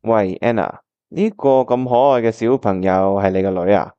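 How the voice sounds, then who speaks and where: 男演員演繹聲音低沉、音色偏暗嘅廣東話深情單身男人. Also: male voice, fairly low pitch, moderate pace, warm tone, muffled recording, slight background noise, natural voice. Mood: friendly. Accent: Hong Kong Cantonese. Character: earnest, reflective bachelor looking back on old love. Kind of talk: Cantonese radio drama narration and dialogue.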